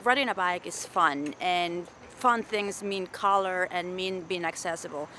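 A woman's voice: fairly low pitch, a little slow, bright, forceful tone, a little hiss or hum. A woman artist speaking enthusiastically in an interview.

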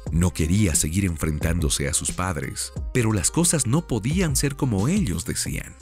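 A man's voice: low voice, lively intonation, moderate pace, a little mellow, faint music behind. A man narrating a radio drama as a solemn storyteller.

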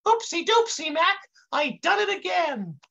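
A man voicing a big, clueless young intern in a high voice, using old-time comic slang in a live story reading. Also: sing-song, unhurried, gravelly, sharp tone, muffled recording, exaggerated voice. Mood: apologetic, goofy. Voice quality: high-pitched. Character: big fat dim-witted male intern kid, innocently clueless.

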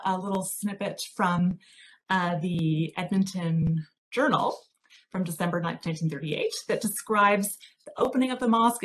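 A woman presenting a history talk over slides on a video call, measured and explanatory with frequent fillers. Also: on the low side, slight background noise. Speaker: project researcher presenting a talk.

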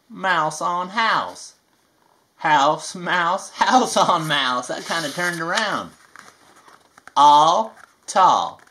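A performer plays a playful storybook alligator with a bright, punchy voice and a folksy Southern US drawl. The reading is slow and emphatic, made for small children. There is some noise behind it. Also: medium pitch, full character voice. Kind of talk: children's picture book read-aloud.

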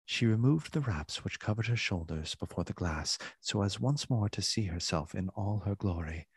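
A man's voice: lowish voice, animated, moderate pace, breathy edge, no echo. A man narrating a literary radio play in a formal, theatrical storytelling manner.

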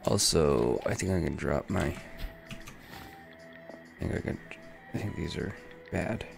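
A man in his thirties with a very low, bassy voice and a gravelly edge to it talks through a video game, half to himself. He reads item descriptions aloud in a loose, muttering way. Soft music plays faintly underneath. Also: animated, measured pace. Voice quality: unusually deep bass voice today. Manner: muttering to himself while playing, reading item text aloud. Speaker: gaming streamer. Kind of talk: casual let's-play commentary. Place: home streaming room, indoors.

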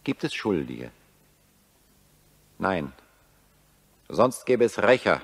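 An anguished, declamatory wartime satirist. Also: male voice, sits low, sing-song, slow, heavily acted. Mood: grave despair. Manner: declamatory, solemn, rhetorical. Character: anguished satirist accusing humanity over the war, bitter, prophetic. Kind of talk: 1940s German radio drama monologue.

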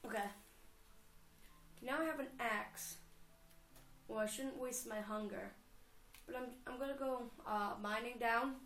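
Young woman, rambling gamer chatter, slightly high voice, roomy echo. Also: measured pace, pauses often, a bit bright. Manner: chatty, rambling, giggly self-talk. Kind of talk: casual let's play commentary.

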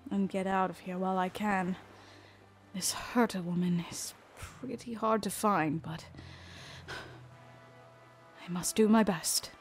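A woman playing a wary, bookish young woman in an audio drama, musing to herself in a measured way. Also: mid-range voice, steady tone. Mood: annoyed, wary. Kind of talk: fan audio drama monologue. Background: music.

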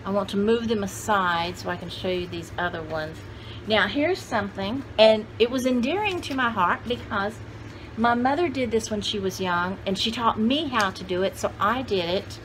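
Chatty woman in her sixties, noisy recording. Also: mid-range voice. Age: 60s. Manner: chatty, rambling, thinking aloud. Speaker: vlogger showing vintage paper dolls. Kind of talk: casual chat to camera. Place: indoor room at home with cluttered shelves. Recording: clearly noisy home video sound.

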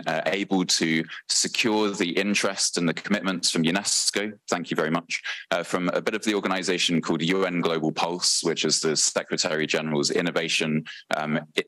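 A British man in his thirties speaking on stage at a conference with a handheld mic, earnest and thoughtful, often pausing with fillers and correcting himself. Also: sits low, fairly bright tone, no room sound, poor audio. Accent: British English. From Britain. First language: English. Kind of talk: conference talk. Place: large conference hall, on stage.

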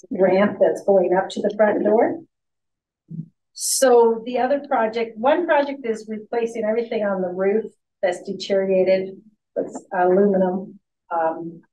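A woman's voice: medium pitch, warm tone, echoey room. Woman staff member reporting to a board; plain delivery full of ums, dull distant room recording with faint hiss. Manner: plain, matter-of-fact, many ums and restarts. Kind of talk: meeting report. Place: large meeting room.

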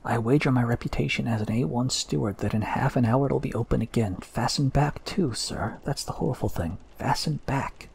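A man's voice: medium pitch, normal speed, creaky, few pauses, a little mellow, slight background noise, pitched above the performer's own voice, lightly acted. A man voicing a nervous, servile male ship's steward who talks in a common dialect, drops his h's and calls everyone sir. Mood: insistent.

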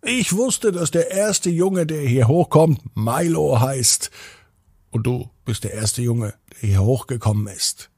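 A man voicing a friendly, calm and welcoming man in the moon in a children's storybook tale. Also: medium pitch, takes it slowly, husky, no echo, studio quality, heavily acted. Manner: expressive storybook delivery.

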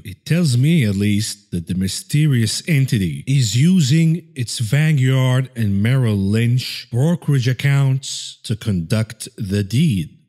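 A man with a relaxed, mellow voice at a medium pitch comments on market news as a show host. His delivery is informal and dryly sardonic, with a tongue-in-cheek edge.

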